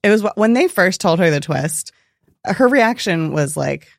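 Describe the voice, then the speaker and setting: A woman's voice: fairly low pitch, expressive, normal speed, creaky, no room sound, clean studio sound. A woman co-hosting a podcast, chatting casually and laughing often. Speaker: podcast co-host. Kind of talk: casual chat.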